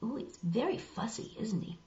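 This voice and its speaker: A woman reading a picture book aloud to children, expressive and dramatic, pausing for asides to show the pictures. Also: fairly high pitch, slight background noise. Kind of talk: animated children's story reading with asides to viewers. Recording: roomy, dull lo-fi web video.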